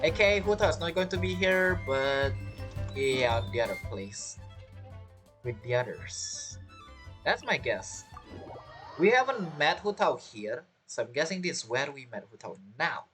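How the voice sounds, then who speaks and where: Animated man doing game commentary. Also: a little high, music in the background. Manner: halting, repeats phrases, reacts to characters. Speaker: game streamer. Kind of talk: casual let's play commentary.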